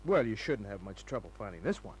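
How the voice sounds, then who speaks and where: An actor playing a hard-boiled private detective, laconic, breezy and sardonic, in the manner of old-time radio drama. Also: male voice, fairly low pitch, sing-song, quick pace. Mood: casual, needling. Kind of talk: old-time radio acting and first-person narration. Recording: noisy humming old radio recording.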